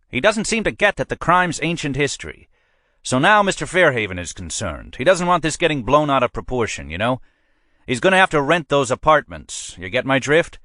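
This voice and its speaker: Man doing a pushy police captain, raised voice, barking, swooping pitch. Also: bright, forceful tone, no room sound, clean studio sound. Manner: brusque, pushy, emphatic. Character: blustering middle-aged male police captain.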